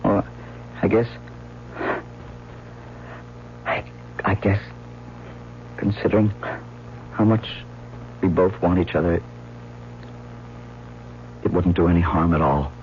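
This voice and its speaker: An actor playing an earnest, hesitant young lover in an old-time radio drama, speaking in a halting way. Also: male voice, low voice, a little hiss or hum. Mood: hesitant yielding. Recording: dull vintage radio recording.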